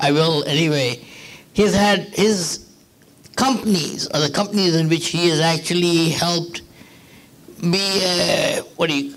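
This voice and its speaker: A man's voice: a little high, a bit bright. A man who organizes the group, introducing the guest speaker in a rambling way, with lots of restarts and open enthusiasm.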